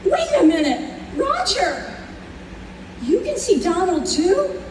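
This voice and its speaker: A woman's voice: very high voice, very animated, slow, over a noisy crowd, full character voice. A woman playing a sad, bewildered reincarnated soul in an old-time radio play, recorded live in a roomy space. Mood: astonished. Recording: roomy live stage recording, distant, faint noise.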